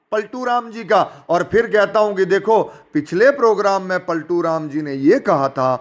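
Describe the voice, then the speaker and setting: Male, notably high voice; informal rebuttal delivered with mockery, indignation and pointed rhetorical questions. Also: a bit bright. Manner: sarcastic, mocking. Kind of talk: informal spoken rebuttal, addressing listeners directly.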